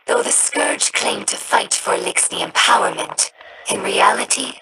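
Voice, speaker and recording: A woman voicing a young female alien scholar who speaks earnestly and with measured care, in game voice lines. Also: medium pitch, lively intonation, gravelly, very noisy. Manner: slow, measured, thoughtful delivery.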